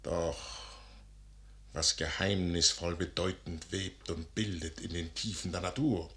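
An imperious, secretive warlord in a 1950s radio play. His voice is low and forceful, with a sharp edge. He declaims verse grandly, with deliberate, weighty emphasis. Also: steady tone. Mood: lofty, mystical. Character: imperious, proud, secretive army commander who trusts the stars. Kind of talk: 1950s German radio drama acting, classical verse. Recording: 1955 radio studio recording.

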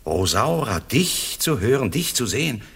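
An actor playing an ardent, courtly prince in a classical verse radio drama, declaiming insistently. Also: male voice, mid-range voice, big pitch swings, measured pace, a bit bright, slightly rough, heavily acted.

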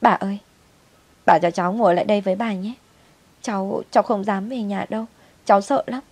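A woman voicing a gentle, timid little granddaughter in a story, with a tearful, pleading manner. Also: mid-range voice, steady tone, moderate pace, close to an everyday voice.

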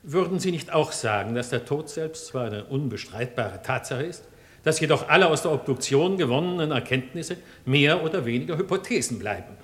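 An actor playing a formal, shrewd defense lawyer making deliberate, rhetorical challenges in a German radio crime drama. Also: male voice, a little high, animated, a bit bright. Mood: measured, probing. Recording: long courtroom reverb.

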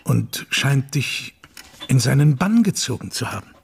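An actor playing a sober, loyal gentleman lawyer in a German radio drama, with a husky voice and a formal, precise manner. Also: mid-range voice, sing-song, a little slow, full character voice. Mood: concerned, disapproving.

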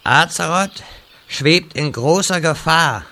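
Man acting as touchy grand wizard, slightly high voice, unhurried, theatrical, slangy when flustered, fantasy parody audio play. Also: animated, bright, forceful tone, heavily acted. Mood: grandiose.

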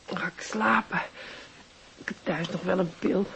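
A woman's voice: fairly low pitch, steady tone, normal speed, vocal fry, a bit bright, a little hiss or hum, low-quality recording. An actress playing a dejected, reluctant cleaning woman in a radio play, subdued and hesitant. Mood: weary, reluctant. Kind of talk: radio drama acting.

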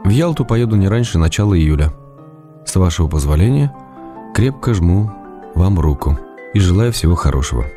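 A man's voice: deep voice, lively intonation, normal speed, mellow, soft background music. An actor playing an ironic, tender male writer who reads his letters with playful mock-drama. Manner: teasing mock-dramatic irony. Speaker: letter reader. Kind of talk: acted reading of letters in a radio play.

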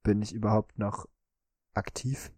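A man co-hosting a podcast, chatting casually through a guessing game and often pausing on drawn-out fillers. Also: fairly low pitch, level tone, darkish tone, slightly rough, no echo. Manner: hesitant, many drawn-out fillers. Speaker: podcast co-host.

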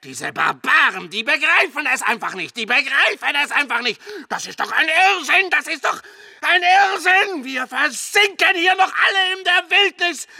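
A man's voice: extremely high pitch, vocal fry, bright, forceful tone, no room sound, heavily acted. An actor in a radio play playing an eccentric, earnest man, confessional and fussy, given to agitated repetition. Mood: hysterical outrage. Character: eccentric, earnest man, sentimental, prone to hysterical outrage. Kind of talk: radio play monologue and dialogue.

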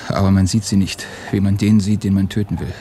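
Low-voiced, despairing revolutionary played by a man. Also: flat delivery, brisk, dark tone, slight background noise, lightly acted. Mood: bitter resignation. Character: ashamed, despairing Russian revolutionary losing his nerve. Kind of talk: German radio drama acting. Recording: studio radio play with music behind.

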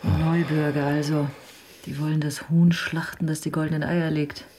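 An actress playing a plain-spoken, caring woman restorer in a radio drama, brisk, matter-of-fact and full of questions. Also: low-pitched, level tone, dark tone, slight background noise, natural voice.

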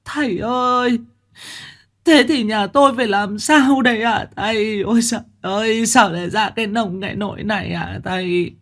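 A man's voice: moderate pace, fairly bright tone. A man putting on a wailing old grandmother. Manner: high wailing pleading voice. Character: weeping desperate old grandmother, pleading.

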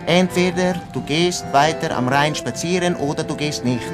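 An actor as jealous young boyfriend, higher-pitched male voice. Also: normal speed, over loud music, close to an everyday voice. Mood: bitter. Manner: accusing, insistent, repeats himself. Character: jealous, reproachful young boyfriend confronting his girlfriend. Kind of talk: German radio drama dialogue.

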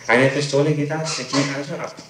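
A man questioning someone as a prosecutor, deliberate and insistent, repeating his points. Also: fairly high pitch, fairly even intonation. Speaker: public prosecutor. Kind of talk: interrogation, questioning a witness. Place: echoey room. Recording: echoey, noisy 1960s tape recording.

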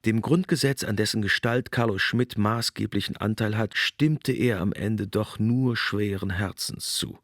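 A man reading scripted narration for a radio documentary in a measured, essayistic storytelling manner. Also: on the low side, no echo, studio quality.

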